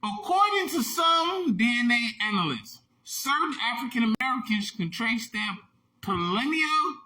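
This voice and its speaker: A man hosting a short online video, giving emphatic, repetitive commentary that keeps bursting into shouts. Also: very high voice, very animated, normal speed, poor audio. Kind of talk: animated social media commentary.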